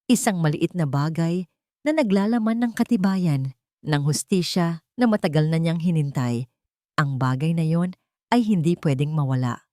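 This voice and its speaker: Low-pitched woman narrating a dramatic story. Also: moderate pace, mellow, dry recording, clean studio sound.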